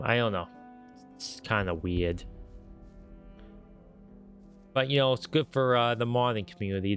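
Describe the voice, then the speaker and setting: Low-voiced stuffy-nosed man streaming. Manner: rambling, lots of fillers and restarts. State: stuffy nose, a bit nasal. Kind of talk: casual gameplay commentary.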